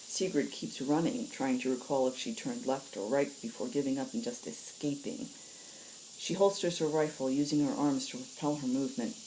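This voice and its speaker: A woman reads in an audiobook storytelling style. Her voice is exceptionally deep for a woman, dark and soft in tone. There is faint background noise behind her. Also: poor audio. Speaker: story reader and host.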